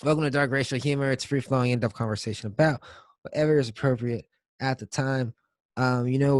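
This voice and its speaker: A man's voice: medium pitch, no echo. A man co-hosting a podcast in a casual, comedic chat, talking in profane slang. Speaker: podcast co-host.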